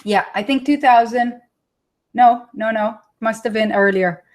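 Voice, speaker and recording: Israeli woman in her thirties, webinar trainer, chatty and warm-pitched, laughs easily. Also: unhurried. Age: 30s. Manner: chatty, laughs often, talks to viewers by name. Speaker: online marketing trainer. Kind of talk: live webinar teaching.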